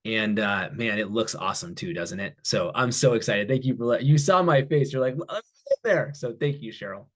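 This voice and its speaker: A man's voice: fairly low pitch, moderate pace. A man speaking as a business executive guest, giving an informal promotional talk in an enthusiastic, emphatic, conversational way.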